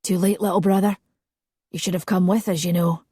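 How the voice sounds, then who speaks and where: A woman's voice: on the low side, fairly even intonation, brisk, warm tone, husky, no room sound, studio quality. A woman doing a smug mocking older brother. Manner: teasing, self-assured.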